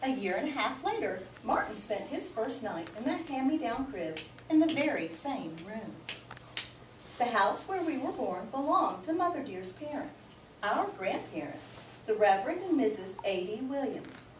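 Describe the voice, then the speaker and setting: In a roomy, noisy recording, a woman reads a children's book aloud from a little way off the mic. Her voice swings widely in pitch as she tells the story with clear emphasis for young listeners. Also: sing-song, moderate pace, far from the mic. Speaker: read-aloud teacher. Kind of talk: expressive picture book read-aloud.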